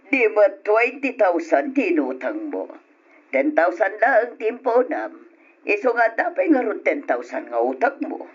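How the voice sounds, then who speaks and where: A greedy, hard-nosed woman moneylender with an Ilocano accent. She haggles theatrically, her pitch swinging widely in a husky voice, on a muffled old radio drama recording. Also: sing-song, measured pace. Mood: stern, dismissive.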